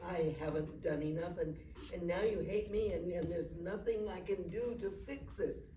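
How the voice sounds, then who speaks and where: A woman playing a frail, confused elderly grandmother full of regret, speaking haltingly in a staged play reading. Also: lowish voice, mellow, lightly acted. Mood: remorseful. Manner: halting, repeats words. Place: performance space, recorded from afar. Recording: echoey distant stage recording, faint noise.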